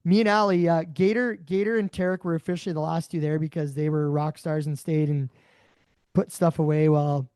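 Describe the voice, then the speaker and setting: Man, raspy voice, slightly high, dry close sound, podcast co-host. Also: steady tone, a little fast, dry recording. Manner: rambling, warm storytelling. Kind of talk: casual chat.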